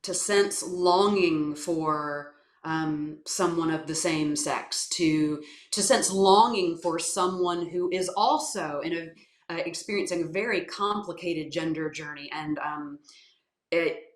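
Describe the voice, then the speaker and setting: A woman from South Carolina with a naturally low voice, giving thoughtful, hesitant interview answers full of fillers and restarts. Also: slow pace. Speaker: guest, voice coach and actor. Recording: echoey room.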